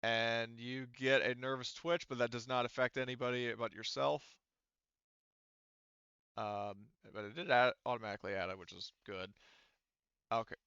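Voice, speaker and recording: A man with a bright, smooth voice works as game master for a tabletop roleplaying session. He talks informally, swears casually and explains as he goes. The recording is dry and slightly muffled. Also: medium pitch, fairly even intonation, normal speed, pauses often, no echo.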